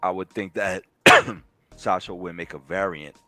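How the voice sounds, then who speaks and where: A man's voice: low voice, measured pace, bright, forceful tone, no room sound, faint music behind. A man chatting casually on a live stream about collectible statues, rambling and enthusiastic with lots of fillers. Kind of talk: casual chat.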